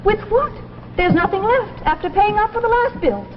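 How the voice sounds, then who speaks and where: A nagging, exasperated big sister, very high and swooping in pitch. She scolds insistently in an old sci-fi drama, through a muffled broadcast. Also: animated, normal speed. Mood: exasperated, scolding. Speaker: actor in a science fiction drama. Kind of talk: 1950s science fiction anthology acting. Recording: muffled, noisy 1950s broadcast with reverb.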